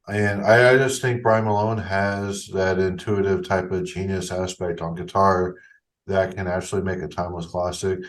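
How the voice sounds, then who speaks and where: Slightly nasal man hosting a podcast. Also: sits low. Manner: easygoing, casual, wraps up the show. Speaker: podcast host. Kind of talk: casual podcast chat.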